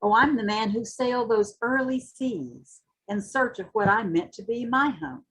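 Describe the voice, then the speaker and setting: A woman giving a dramatic, impassioned poetry reading, with emphatic, deliberate phrasing and a husky voice. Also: a little high, animated, poor audio. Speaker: reader at a community literary read-in. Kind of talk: introduction and dramatic poetry recitation.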